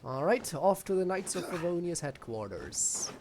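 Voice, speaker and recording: A man's voice: high voice, lively intonation, normal speed, a little mellow, a little hiss or hum. A man giving casual live game commentary in a blunt, dry manner. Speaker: game streamer.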